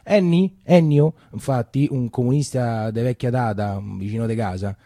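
An actor playing a Roman man who recounts his wartime boyhood in colloquial, halting theatrical storytelling with repeated phrases. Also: mid-range voice, warm tone, no echo, clean studio sound, natural voice. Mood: reminiscing. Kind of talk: theatrical first-person storytelling.

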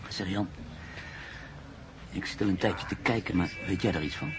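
An actor playing a reflective, hesitant journalist and writer in his mid-thirties in a radio drama, speaking in a measured, literary way. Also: deep voice, noisy background, natural voice. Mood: relaxed, curious. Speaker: actor narrating as the lead character. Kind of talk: radio drama monologue and dialogue.

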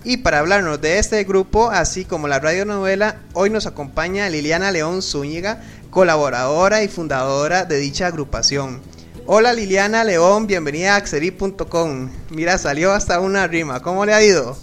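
High-pitched man hosting. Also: normal speed, fairly bright tone, soft background music. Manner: polite, formal address. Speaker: program host. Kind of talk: radio-style interview and presenting.

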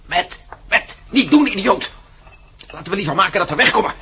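An actor playing a nervous, cautious companion in a radio play, agitated and stammering with alarm. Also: male voice, high voice, lively intonation, brisk, noisy background. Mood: nervous, scolding. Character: nervous, cautious male companion, easily appalled. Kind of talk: Dutch radio play acting.

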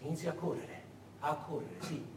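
A man performing a dramatic theatrical monologue, emphatic and deliberate, repeating words and leaving heavy pauses. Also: a little high, steady tone, darkish tone. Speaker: solo stage storyteller. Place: echoey performance space, far from the mic. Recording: echoey, noisy, distant stage recording.

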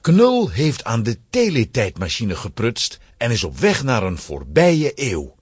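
A man's voice: mid-range voice, wildly swooping pitch, a little slow, breathy edge, no room sound. A man narrating a scripted children's story with dramatic suspense.

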